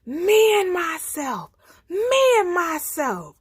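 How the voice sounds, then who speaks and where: A woman voicing a proud male lion from a children's storybook, with drawn-out, boastful, wailing delivery. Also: very high voice, big pitch swings, no room sound, clean studio sound, pitched above the performer's own voice, exaggerated voice. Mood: roaring, proud. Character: proud roaring male lion, boastful, later humbled and whiny.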